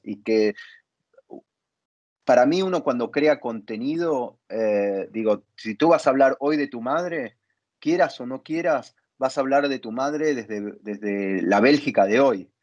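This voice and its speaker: A man hosting a reflective conversation, thinking aloud in deliberate, halting phrases. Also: medium pitch, darkish tone, muffled recording. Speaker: interview host, theatre dramaturg.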